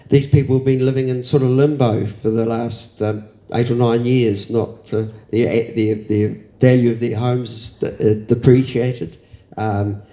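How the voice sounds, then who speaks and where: Earnest man with a dark voice. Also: fairly low pitch. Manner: earnest, halting with many ums. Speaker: councillor speaking at a community meeting. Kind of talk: public meeting address.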